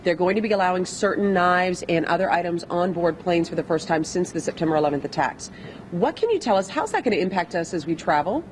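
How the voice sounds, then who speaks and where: A woman's voice: fairly low pitch, lively intonation, fast talker, rough recording. A woman working as a live TV news reporter, interviewing on location in a chatty, conversational, playful way. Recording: faint background noise.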